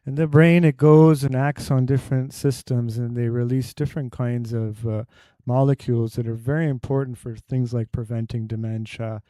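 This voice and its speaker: A man's voice: medium pitch, steady tone, moderate pace, warm tone, no room sound. A man giving a conference talk on health to a live audience. He explains with everyday analogies and quizzes the crowd directly.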